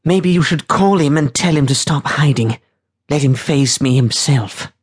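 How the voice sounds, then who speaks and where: A man's voice: a little high, measured pace, breathy edge, pitched above the performer's own voice. A man voicing a proud, sardonic woman freebooter captain in an audiobook, with a lofty, clipped delivery. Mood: haughty, challenging. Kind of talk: audiobook character voice.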